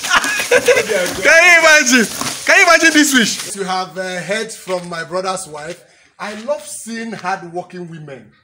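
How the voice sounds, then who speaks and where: A man from Nigeria hosting a vlog, eagerly asking quick questions on a farm tour outdoors. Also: high voice, lively intonation. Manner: enthusiastic, quick questions, laughs often. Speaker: vlogger host. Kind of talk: upbeat vlog interview. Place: outdoor yard. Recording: outdoor recording with clear background noise.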